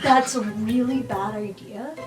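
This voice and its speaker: A woman playing an awkward, polite teen in improvised tabletop roleplay, speaking in a halting, hesitant, understated way. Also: fairly high pitch, fairly even intonation, roomy sound, natural voice. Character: awkward, scatterbrained, polite young magical teen called Nell.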